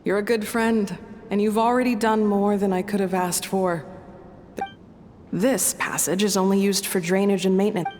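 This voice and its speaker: An actress playing a shrewd, principled middle-aged woman in a video game, with a measured, wry, assured manner. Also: medium pitch, fairly even intonation, a little mellow, big-room sound, slight background noise, lightly acted. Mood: gentle, practical. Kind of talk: dramatic video game voice acting.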